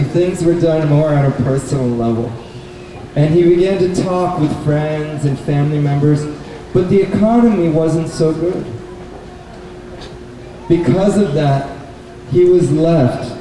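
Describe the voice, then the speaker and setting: A man telling a story live to children at a puppet show, in short, simple explanatory phrases. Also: on the high side. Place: public event in a bookstore, mic at a distance. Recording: echoey, distant, noisy live event recording.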